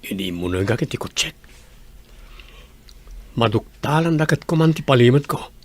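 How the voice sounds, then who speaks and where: This is a man acting a composed gentleman with a secret, in an Ilocano radio drama. His voice is husky and sits at a middle pitch. He speaks in a deliberate, brooding way. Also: lively intonation, natural voice.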